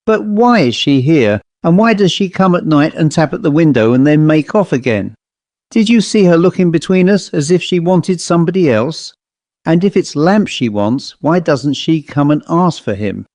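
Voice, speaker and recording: Storybook gentleman played by a male reader: educated, brisk, puzzled, mellow tone, close dry sound. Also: a little high, lively intonation, no echo, voice pushed up. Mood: puzzled, musing. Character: perplexed upper-class gentleman bachelor, master of the house. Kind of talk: audiobook character voice.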